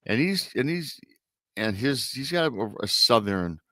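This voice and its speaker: A man's voice: mid-range voice, lively intonation, measured pace, fairly bright tone, no room sound, clean studio sound. A middle-aged man with a Michigan American accent, hosting a casual talk show in a rambling, repetitive way. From Michigan, USA. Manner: rambling, repeats himself. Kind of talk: casual chat.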